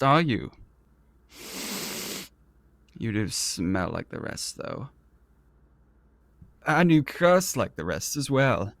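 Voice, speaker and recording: An actor playing an arrogant, vain and petulant prince in a fantasy audio roleplay, speaking in a pompous, theatrical and condescending manner. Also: male voice, on the low side, creaky, no echo, full character voice. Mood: amused, condescending. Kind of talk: scripted ASMR roleplay audio drama.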